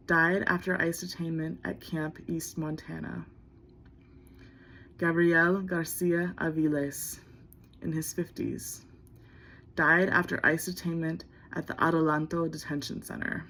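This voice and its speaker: A woman's voice: low-pitched, gravelly, a bit bright. A woman giving a solemn scripted reading for a memorial. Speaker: reader in an online remembrance video.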